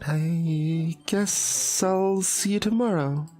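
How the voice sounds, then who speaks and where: Shy, slow-speaking schoolgirl acted by a woman. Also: on the low side, dark tone, soft background music. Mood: bashful, tender. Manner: hesitant, stammering, halting. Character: shy, bashful, polite young anime schoolgirl. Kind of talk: visual novel character voice-over.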